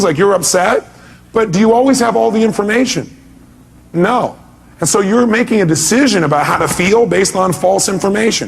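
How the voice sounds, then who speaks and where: A man's voice: sits high, slight background noise. A man speaking as a motivational seminar speaker, emphatic, putting rhetorical questions to his audience and often answering them himself.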